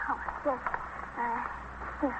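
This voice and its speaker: A woman's voice: slow, natural voice. An actress playing a polite, flustered young single woman with a hesitant delivery in a radio drama. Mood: nervous. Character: earnest single woman fashion designer, flustered, sympathetic. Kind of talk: 1950s radio drama acting. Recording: muffled, noisy old radio recording.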